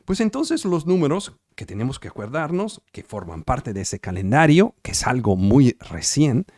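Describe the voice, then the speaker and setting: Man, italian accent, preacher, emphatic, animated pitch, dry recording. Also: normal speed, no room sound. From Italy. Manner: emphatic, repetitive, asks the audience if they follow. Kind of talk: sermon over video call.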